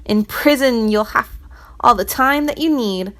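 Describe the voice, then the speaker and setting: A woman voicing a greedy, cunning servant in a children's storybook reading, speaking high and forcefully. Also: a little slow, lightly acted. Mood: cruel. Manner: pleading then harsh and forceful.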